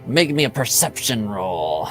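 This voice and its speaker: A man running a tabletop game, narrating casually and haltingly, with lots of "like" and "you know". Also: on the low side, very animated, faint music behind. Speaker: game master.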